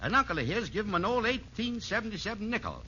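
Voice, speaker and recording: A man's voice: high voice, sing-song, quick pace, bright, forceful tone, heavily acted. A man playing a chatty, wry friend and gossipy sidekick in a 1940s radio sitcom, folksy yet precise. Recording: dull, noisy old radio recording.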